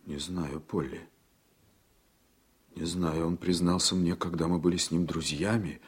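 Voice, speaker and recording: A principled, troubled man in a classic radio play. His voice is on the low side and slightly rough, and he talks earnestly and hesitantly, as if torn by conscience. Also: fairly even intonation, measured pace. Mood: subdued, torn. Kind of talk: Soviet-era radio drama acting.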